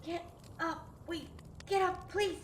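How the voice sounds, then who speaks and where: A woman's voice: very high-pitched, fairly even intonation, slow. A woman playing a fragile, starving mother in a fantasy audio drama, pleading and fraught. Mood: desperate. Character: starving, desperate, fragile mother of a young boy. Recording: distant, echoing, faint noise.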